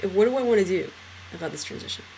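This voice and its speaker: A young transgender man in a casual personal vlog, talking hesitantly with many fillers and restarts, his trained low voice deepened further by a cold. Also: animated, fast talker, gravelly, darkish tone, a little hiss or hum, poor audio. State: voice lowered by illness.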